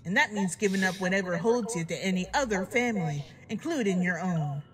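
A woman's voice: medium pitch, lively intonation, brisk, a crowd in the background, natural voice. A woman voicing a villainous female farm pony in a horror audio drama, mocking and menacing, with folksy, falsely polite talk. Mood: mock sincerity. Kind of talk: horror fan fiction audio drama acting.

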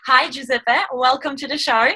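Woman host in her thirties, high-leaning, very bright voice, upbeat and laughing, home-studio mic. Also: fairly even intonation, moderate pace, breathy edge. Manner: upbeat, laughs often, hesitant fillers. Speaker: podcast host. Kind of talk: podcast hosting. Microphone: large studio mic on a boom arm.

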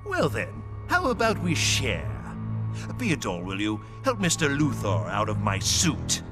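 An actor playing a mocking, theatrical clown villain in a video game, playful and mock-polite. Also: male voice, medium pitch, wildly swooping pitch, measured pace, over music. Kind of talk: video game cutscene acting.

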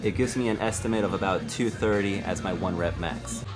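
A man in his thirties with a lowish voice gives relaxed step-by-step tips straight to the camera. He is recorded up close in a big indoor gym. Music plays steadily under his voice. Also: fairly quick. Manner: relaxed, explanatory, addresses viewers directly. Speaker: fitness YouTuber. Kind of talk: casual how-to vlog. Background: background music.

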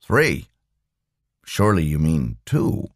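A man's voice: rumbling low, sing-song, takes it slowly, husky, no room sound, studio quality, exaggerated voice. A man voicing a polite, puzzled computer company doctor in an audiobook story, businesslike and questioning.

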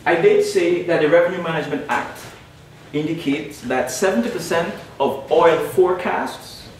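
Man from Guyana, slightly high voice, emphatic lecturer, very echoey distant room recording, faint noise. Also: normal speed. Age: young adult. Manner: emphatic, repeats key points, checks in with "all right?". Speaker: panel speaker. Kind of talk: academic presentation with slides. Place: lecture room at a panel event.

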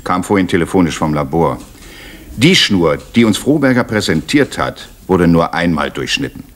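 An actor playing a brisk, matter-of-fact male criminal investigator in an East German radio drama. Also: deep voice, very animated. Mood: businesslike. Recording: old radio recording, faint noise.

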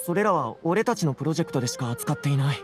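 A man's voice: a little high, expressive, very fast, natural voice. Man as nervous scholar, anime game, flustered, pleading, faint background music. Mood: anxious, defensive. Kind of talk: Japanese anime game voice acting.

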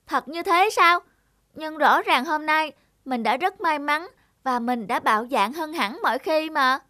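A woman's voice: sits high, a little slow, sharp tone, no echo. A woman voicing a shy, self-doubting cartoon schoolboy who speaks hesitantly and earnestly. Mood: puzzled. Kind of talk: cartoon dialogue.

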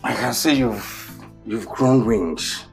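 Brief: drama, male actor as a cash-strapped boyfriend, rough gravelly tone, loud outbursts. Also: sits high, animated, moderate pace, soft background music, heavily acted. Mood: scornful. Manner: pleading then shouting in bursts. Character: struggling young man, defensive and hot-tempered. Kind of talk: Nigerian film drama acting.

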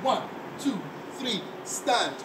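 A man's voice: very high-pitched, animated, very slow. A male dance instructor counting beats aloud and explaining steps, chanting along with the music. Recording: roomy, far from the mic, loud background noise.

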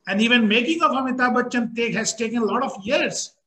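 High-pitched middle-aged Indian man. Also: quick pace, bright, forceful tone. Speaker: government policy official, panelist. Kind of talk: panel discussion on a video call. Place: small office, indoors. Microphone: video call, computer mic at desk.

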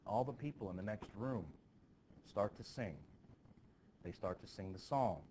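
A man teaching, explaining the scene of a play in a deliberate, explanatory way. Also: lowish voice, a little mellow, a little hiss or hum. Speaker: teacher reading a play aloud. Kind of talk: expressive reading and plain summary.

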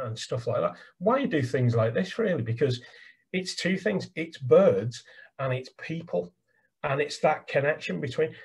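A man's voice: a little high, a little mellow, husky. An older man with an English accent, chatting enthusiastically over a video call about birdwatching, repeating words as he talks. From England. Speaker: birdwatching guide. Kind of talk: casual video call chat. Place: small room at home. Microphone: webcam on a video call. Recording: laptop video call audio.

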